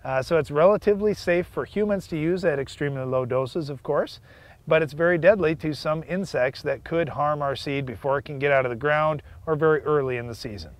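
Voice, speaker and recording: A man's voice: fairly high pitch, brisk, no room sound. A man in his thirties from the United States co-hosting a farm show, explaining step by step with small fillers, outdoors in a field on a lapel mic. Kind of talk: scripted educational farm talk.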